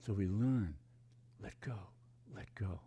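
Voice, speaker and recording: A man speaking as a meditation teacher, reading and explaining spiritual teachings in a hushed, deliberate, contemplative way with dramatic pauses. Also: on the low side, breathy edge.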